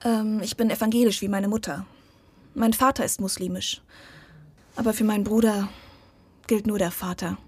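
An actress playing an earnest, outspoken young woman in a naturalistic radio drama, with a husky, breathy voice. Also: medium pitch, fairly even intonation, moderate pace, long pauses, darkish tone, lightly acted. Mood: troubled, confiding. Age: young adult. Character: earnest, outspoken young woman worried about her brother.

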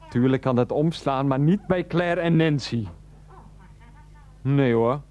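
A man's voice: mid-range voice, unhurried, darkish tone, close to an everyday voice. Man acting an exasperated, put-upon husband. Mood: incredulous, soothing. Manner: stammering, incredulous, placating. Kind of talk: radio play dialogue.

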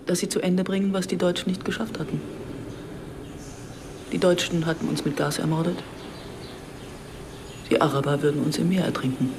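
Wry gravelly-voiced woman narrator, an actress. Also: fairly low pitch, steady tone, moderate pace, long pauses, lightly acted. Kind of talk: radio drama narration. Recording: noisy background ambience.

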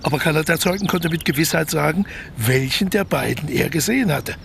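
A smooth, calculating small-time crook in a naturalistic radio play. His voice is a little high for a man, with a gravelly creak. He talks persuasively and with cocky patience, over faint background noise. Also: brisk, a bit bright. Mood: smug, calculating. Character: scheming, smooth-talking male crook planning a theft.

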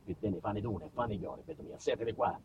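A man's voice: lowish voice, fairly quick, recorded from a distance, muffled recording, slight background noise, pitched below the performer's own voice, lightly acted. A man playing a surly barber who grumbles in thick Brindisi-area southern Italian dialect. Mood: grumbling.

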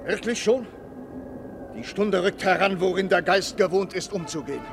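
An actor playing an anxious, loyal companion of a prince in a German radio play, earnest and theatrical. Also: male voice, high voice, normal speed, music in the background. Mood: uneasy, respectful.

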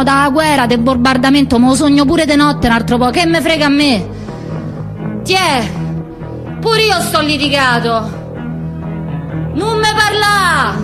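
A woman voicing a nosy, crabby old bachelor with a Roman accent in a theatre monologue, insistent and repetitive in dialect. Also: high voice. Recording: loud music under the voice.

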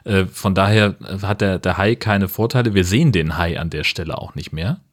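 Lower-voiced man in casual podcast chat. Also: moderate pace. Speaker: podcast co-host. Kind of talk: casual film-review chat.

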